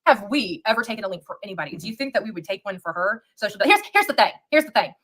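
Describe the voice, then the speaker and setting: A woman chatting on a livestream in a fast, chatty, dismissive way. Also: mid-range voice, big pitch swings, a bit bright. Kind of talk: casual livestream chat.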